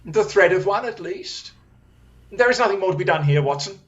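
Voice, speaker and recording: An actor playing a brilliant, wry, self-assured Victorian detective in an audio drama, with a crisp, theatrical, commanding manner. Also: medium pitch, lively intonation, fairly quick, fairly bright tone, lo-fi sound, natural voice. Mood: thoughtful, confident.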